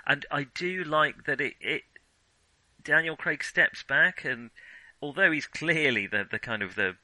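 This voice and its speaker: A man's voice: a little high, unhurried, sharp tone, no echo, rough recording. A man co-hosting a podcast, talking casually as he reviews films. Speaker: podcast co-host. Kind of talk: casual film review chat.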